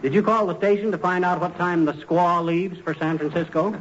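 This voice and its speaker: An actor playing an impatient, grumbling small-town husband in a folksy, exclamatory 1940s radio comedy style. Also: male voice, high voice, fairly even intonation, brisk, lightly acted. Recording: noisy, dull old radio recording.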